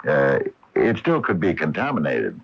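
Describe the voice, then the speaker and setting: An actor playing an uneasy small-town hardware store owner who was once a scientist, speaking haltingly in an old radio drama. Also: male voice, rumbling low, wildly swooping pitch, slightly rough, full character voice. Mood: uneasy, confiding. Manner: hesitant, halting, full of uhs. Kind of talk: 1980 radio drama acting. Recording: muffled vintage AM radio broadcast.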